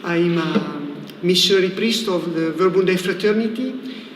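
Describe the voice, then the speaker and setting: High-pitched Italian priest in an echoey room. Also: male voice, measured pace. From Italy. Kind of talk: conversational religious talk in a livestream interview. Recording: echoey reverberant room with faint noise.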